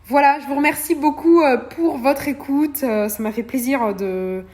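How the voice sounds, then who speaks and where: A woman's voice: high voice, normal speed, darkish tone. A woman hosting a solo podcast, talking in a hesitant way with many fillers and restarts. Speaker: podcast host.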